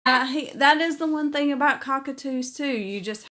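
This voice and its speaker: A woman's voice: sits high, moderate pace. Chatty woman livestream host. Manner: warm, chatty, reads comments aloud and answers them. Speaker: livestream host reading viewer comments. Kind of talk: casual livestream chat.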